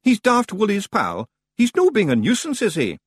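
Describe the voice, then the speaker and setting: A man voicing a tiny, brash, cheerful pixie man with a broad Scottish accent, gruff and hearty. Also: high-pitched, huge pitch swings, moderate pace, dry recording, pitched above the performer's own voice, heavily acted. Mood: cheery.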